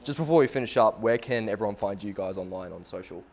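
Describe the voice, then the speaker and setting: A man's voice: fairly low pitch, fast, a little mellow, slight background noise. A man hosting a podcast, reacting to his guests with upbeat enthusiasm. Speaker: podcast host. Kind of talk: casual interview hosting.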